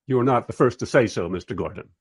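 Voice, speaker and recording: A man's voice: medium pitch, fast, slightly rough, lightly acted. A man playing a polite, inquisitive interviewer in a radio play, asking courteous questions and narrating with wry asides. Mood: courteous, intrigued.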